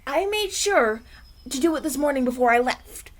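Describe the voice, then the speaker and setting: A man's voice: very high-pitched, expressive, moderate pace, fairly bright tone, slight background noise. A man playing a flustered, polite young human prince in a fantasy audio roleplay, stammering and awkward. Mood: flustered, pleading. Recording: outdoor nature ambience behind.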